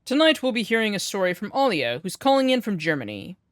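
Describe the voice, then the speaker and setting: A woman's voice: mid-range voice, sing-song, quick pace, no room sound, natural voice. Casting: actress as female late-night mystery radio host, poised and theatrical, very varied intonation, close dry sound. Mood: intrigued, mysterious.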